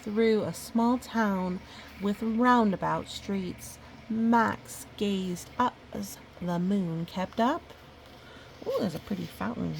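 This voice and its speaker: A woman reads a children's storybook aloud over background noise. Her pace is slow and her delivery gentle, at a middle pitch. She slips easily between the written text and chatty, wondering remarks to a young listener. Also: creaky, poor audio. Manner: gentle, musing, chatty asides. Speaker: storybook reader. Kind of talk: read-aloud of a picture book with casual asides to a child listener.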